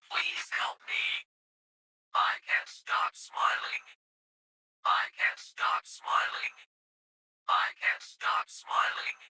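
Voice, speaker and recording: A man giving casual, slangy reaction commentary, speaking directly to his viewers. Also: medium pitch. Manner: informal slangy talk, addressing viewers directly. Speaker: reaction video host.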